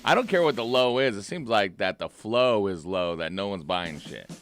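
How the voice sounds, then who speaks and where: An American man with a slightly hoarse voice, hosting a live radio show with brash, profane, teasing banter. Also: lowish voice, normal speed, faint music behind. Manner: brash, teasing, animated storytelling. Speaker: host of a live cannabis growing radio show. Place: radio studio.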